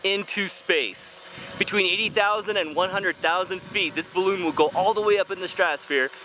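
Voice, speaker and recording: Bright-voiced man hosting a casual vlog. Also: high voice, moderate pace, poor audio. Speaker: vlog host interviewing the crew. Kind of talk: casual behind-the-scenes chat.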